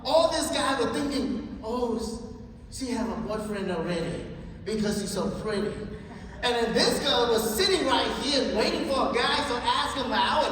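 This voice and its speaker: A man's voice: extremely high pitch, a bit bright. Man, sales trainer, joking storyteller, far from the mic, heavy hall echo, background noise. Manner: joking, storytelling, keeps checking in with the audience. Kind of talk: motivational sales training talk.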